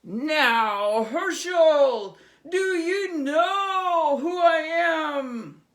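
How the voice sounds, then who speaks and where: A woman voicing a menacing, imperious goblin king in a storybook, with slow, drawn-out theatrical shouting. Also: high voice, lively intonation, pitched above the performer's own voice, full character voice.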